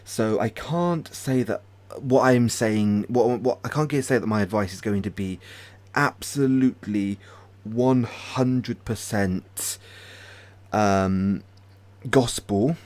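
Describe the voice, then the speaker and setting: A British man hosting a casual livestream, chatting hesitantly with many pauses and restarts. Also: lowish voice, a little mellow. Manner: hesitant, lots of ums and restarts, friendly. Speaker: ASMR channel host.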